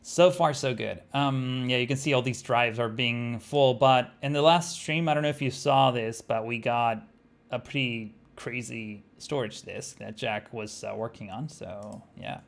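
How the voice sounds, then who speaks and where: A young man with an Argentine Spanish accent talks through a game on a live stream, relaxed and full of fillers as he thinks aloud. His voice has a gravelly edge, and his pitch sits at an ordinary middle level for a man. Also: a little slow. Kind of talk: live-streamed gameplay chat.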